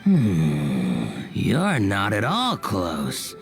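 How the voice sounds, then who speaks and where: An actor playing a composed, knowing harbor local in a video game, explaining things in a measured, slightly teasing way. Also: low-pitched, huge pitch swings, creaky, heavily acted. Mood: teasing, advisory. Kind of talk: English video game voice acting. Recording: game music behind voice.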